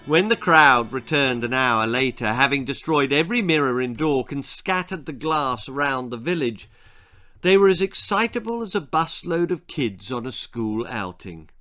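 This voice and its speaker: A man reading a children's horror-comedy story as its author, in a theatrical, relishing, deliberate manner. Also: medium pitch, animated, pushed and bright, faint music behind. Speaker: author reading own stories aloud.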